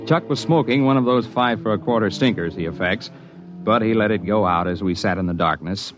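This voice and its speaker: A man narrating a 1950s radio drama in a steady, measured way. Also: on the low side. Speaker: narrator and lead actor. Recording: dull lo-fi old radio recording with music.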